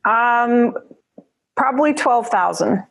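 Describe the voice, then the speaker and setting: A woman's voice: a little high, animated, takes it slowly, gravelly, poor audio. Woman, New Jersey accent, podcast guest, chatty, frequent laughs, many fillers. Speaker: guest, mindfulness teacher. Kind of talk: casual podcast interview.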